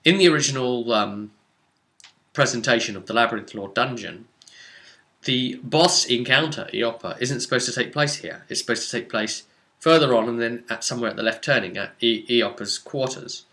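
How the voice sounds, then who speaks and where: A man's voice: mid-range voice, normal speed, bright, forceful tone. A man casually recapping a tabletop role-playing session as game master, explaining conversationally with dramatic asides.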